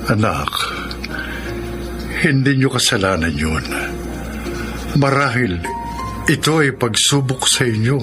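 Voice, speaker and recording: An actor playing a gentle, devout middle-aged father who counsels in a measured, solemn way in a Filipino radio drama. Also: mid-range voice, animated, over music. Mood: gentle, advising.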